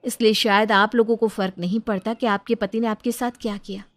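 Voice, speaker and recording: A woman's voice: mid-range voice, steady tone, brisk, natural voice. Cartoon story role, woman performing: principled young wife and teacher, persuasive, earnest delivery. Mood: firm, resolute. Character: principled, self-respecting young daughter-in-law and village teacher. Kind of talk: cartoon moral story dialogue.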